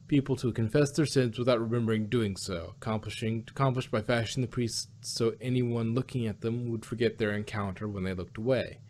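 A man in a casual review chat, talking in a halting, rambling way as a panelist. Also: medium pitch, darkish tone. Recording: clear background noise.

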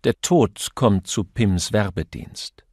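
A man's voice: fairly low pitch, expressive, slow pace, a little mellow, no room sound, studio quality. A man reading a crime novel aloud as scripted audiobook narration.

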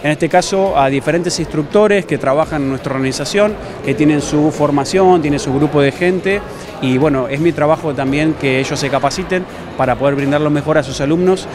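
A man's voice: a little high, a little fast, crowd noise. A man in his thirties with an Argentine accent, a self-defense instructor giving spontaneous, explanatory interview answers into a handheld microphone in a gym. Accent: Argentine Spanish. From La Plata, Argentina. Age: 30s. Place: large busy gym hall, indoors. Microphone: handheld reporter microphone.

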